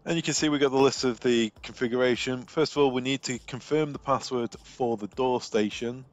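A man's voice: medium pitch, steady tone, brisk, dry recording, dull audio. A man working as a technical trainer, narrating a screen demo in an informal, step-by-step way.

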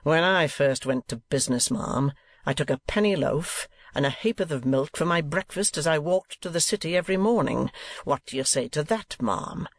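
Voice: medium pitch, animated, normal speed, no room sound. A performer voicing a cold, testy old uncle in a Victorian novel, growling and sneering curtly.

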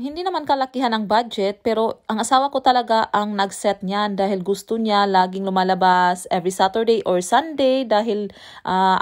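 A woman in her thirties narrating a family vlog voice-over, chatty and candid, with sighing asides. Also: fairly high pitch. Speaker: vlogger. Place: home, recorded as a voice-over.

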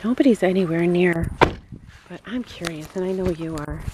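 A woman's voice: fairly low pitch, moderate pace. A middle-aged woman vlogging casually off the cuff, chatty and musing aloud with wonder. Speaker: rideshare driver and vlogger. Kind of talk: casual off-the-cuff vlog narration. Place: moving car with windows down, later open barn. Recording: noisy handheld camera audio with car and wind.

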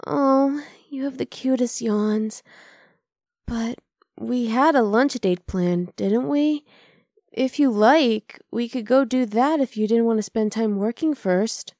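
A woman's voice: a little high, slow pace, darkish tone, no room sound. Close, dry, teasing half-dragon girlfriend voiced by a woman. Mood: affectionate. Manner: casual, coaxing, affectionate teasing. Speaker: ASMR roleplay voice actor. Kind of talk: ASMR roleplay acting.

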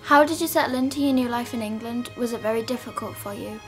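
A young woman interviewing, asking questions briskly and clearly. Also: on the high side, faint music behind.

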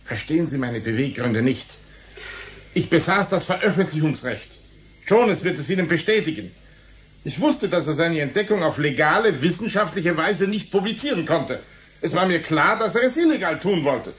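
An actor playing a stubborn, defensive journalist in a radio play, explaining himself in a deliberate way. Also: male voice, on the high side, lively intonation, lightly acted. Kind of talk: German radio play acting.

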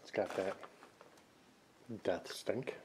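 A man's voice: very slow, a little hiss or hum. A man giving casual exploring commentary in short, musing fragments, recorded on a handheld camera inside a barn. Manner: musing, fragmented remarks while looking around. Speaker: urban explorer vlogger. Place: inside a dark abandoned barn. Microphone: handheld camera mic.

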